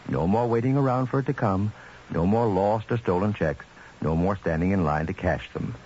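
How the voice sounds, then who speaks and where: A man's voice: low voice, moderate pace, a little mellow. A man reading a scripted, folksy radio commercial as an announcer. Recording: muffled old radio, faint hiss.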